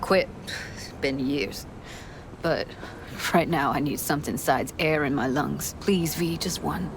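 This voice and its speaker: A woman's voice: sits low, unhurried, vocal fry, noisy background. A woman acting a tough young woman in grief and shock for a video game, blunt, profane and emotionally raw. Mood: subdued, grieving. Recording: music playing behind the voice. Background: game music.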